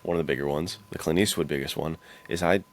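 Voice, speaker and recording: A man with an exceptionally deep voice and a gravelly creak, hosting a podcast. He chats casually in laid-back slang, feeling his way through his thoughts as he goes. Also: quick pace. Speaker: podcast host. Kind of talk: casual chat about western films.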